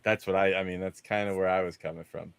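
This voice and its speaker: A man's voice: sits low, steady tone, fast, vocal fry, smooth, no room sound. A man talking casually and enthusiastically as a rambling panelist on a movie discussion show.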